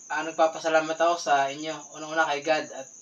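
A man's voice: fairly high pitch, level tone, fast talker, fairly bright tone, muffled recording. A man in his thirties from the Philippines, chatting casually to his viewers as he shows off his fishing catch and thanks them over and over. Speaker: vlogger, spearfisher. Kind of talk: casual vlog narration. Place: small tiled kitchen indoors, at night. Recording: distant phone audio with clear background noise.

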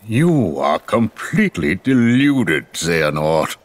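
An actor playing a remorseful, stiffly formal scientist in a video game cutscene, pleading and defending himself. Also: male voice, low voice, sing-song, slow pace, exaggerated voice.

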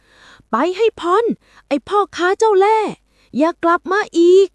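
A woman voicing angry, jeering villagers who shout in a storybook tale. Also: extremely high pitch, measured pace, no room sound, pitched above the performer's own voice. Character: angry crowd villagers, jeering and chasing out a cheat.

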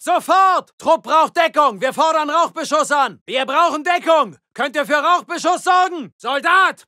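An actor playing a gruff German elite soldier, barking orders and battlefield callouts. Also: male voice, very high-pitched, dry recording, studio quality. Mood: urgent. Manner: barked commands, shouted callouts. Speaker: soldier character. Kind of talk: game voice lines.

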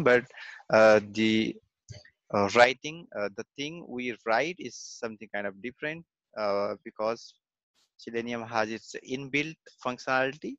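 A man giving a screen-recorded software testing lesson, halting, with many fillers and a habit of saying "okay" and "fine.". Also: medium pitch, dry recording, highs cut off.

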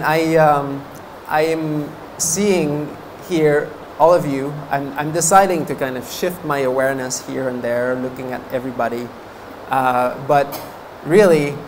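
A man's voice: on the high side, slight background noise, rough recording. A man in his thirties, a Buddhist monk whose first language is French, giving a chatty dharma talk at a meditation course, laughing often between fillers. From French-speaking Canada. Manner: gestures with his hands while talking. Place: meditation hall, seated before a banner. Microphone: stand mic in front of him.

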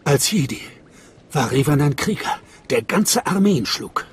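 A man playing a solemn cult guide in a video game, speaking in a measured, reverent, mystical way. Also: on the high side, very animated, husky, full character voice. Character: solemn male cult guide welcoming initiates. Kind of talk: dramatic video game voice acting in German.

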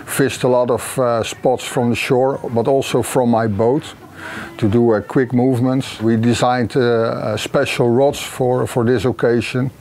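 A middle-aged man from the Netherlands with a Dutch accent, giving interview answers haltingly with many fillers and repeated words. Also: medium pitch, steady tone, a little mellow. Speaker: competitor. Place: outdoor patio.

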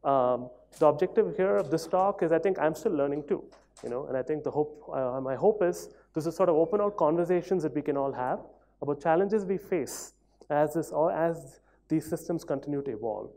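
A man's voice: fairly high pitch, animated, brisk, mellow. A man in his thirties giving a conversational talk at a conference lectern, hedging often with fillers like "sort of". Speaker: conference speaker. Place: large conference hall at a lectern.

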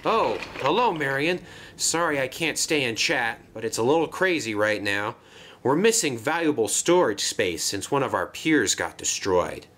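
A man voicing a busy, friendly male dockside engine in a children's train story, hurried but polite. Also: medium pitch, big pitch swings, a bit bright, a little hiss or hum, voice pushed up. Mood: harried.

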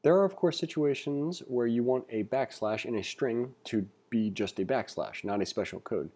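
A man giving a read-along tutorial as an instructor, reading aloud and adding informal asides as he thinks out loud. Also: mid-range voice, normal speed. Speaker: programming instructor. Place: indoors, screen-recording voice-over.

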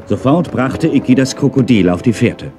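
A man's voice: medium pitch, animated, moderate pace, warm tone, lightly acted. A middle-aged man narrating a children's story in a playful way, with wry, chatty asides.

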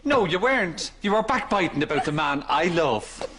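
An actor playing a sad, camp, heartbroken son in a broad sitcom style. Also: male voice, fairly high pitch, expressive, normal speed. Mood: sad, indignant. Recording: noisy studio with loud audience.

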